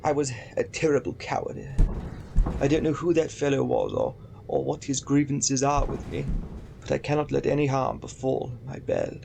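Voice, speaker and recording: An actor playing a timid, remorseful old man in a radio play, speaking hesitantly and anxiously with a creaky voice. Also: medium pitch, huge pitch swings, moderate pace, noisy background, exaggerated voice. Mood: regretful.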